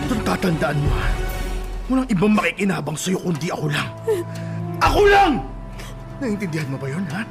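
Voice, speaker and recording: Domineering, possessive Filipino husband for radio drama; volatile pitch, prominent music underneath. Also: male voice, very animated, normal speed, gravelly, long pauses, heavily acted. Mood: angry, accusing. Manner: coaxing murmurs turning to aggressive demands. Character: domineering, jealous husband, lustful then violently angry.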